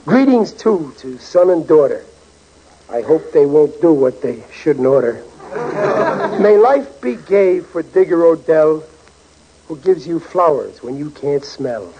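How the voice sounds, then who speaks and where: A man's voice: on the high side, very animated, takes it slowly, a crowd in the background, exaggerated voice. A man playing a bashful, folksy working-class father and amateur poet in a 1940s radio comedy. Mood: sentimental, jokey. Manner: hesitant, folksy, chuckling at himself. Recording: dull, slightly noisy 1940s radio recording.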